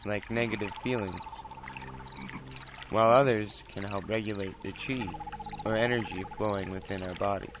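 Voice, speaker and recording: Male, low register: eager, grumbling apprentice scholar of magic acted by a man, theatrical delivery, muffled amateur recording. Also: fairly even intonation, normal speed, long pauses, noisy background, close to an everyday voice. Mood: earnest, explaining. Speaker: host. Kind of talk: scripted fantasy podcast monologue and narration. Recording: dull lo-fi home recording, loud music. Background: music.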